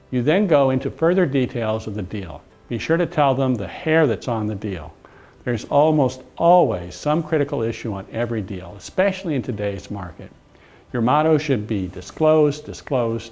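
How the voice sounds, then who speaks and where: A man's voice: medium pitch, a little mellow. A man delivering scripted instructional narration in a businesslike, direct way, emphasising his advice as a presenter. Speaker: presenter, commercial mortgage broker giving tips.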